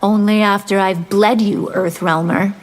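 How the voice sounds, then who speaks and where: A woman's voice: mid-range voice, steady tone, a little slow, lightly acted. A woman voicing a bloodthirsty winged vampire in a fighting game, predatory and teasing. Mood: hungry, taunting. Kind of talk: fighting game character acting. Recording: long hall reverb, faint music.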